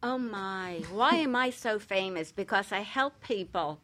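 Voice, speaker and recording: A woman's voice: mid-range voice, expressive, a little slow, fairly bright tone. A woman speaking as an expert guest in casual show conversation, plain-spoken and reassuring.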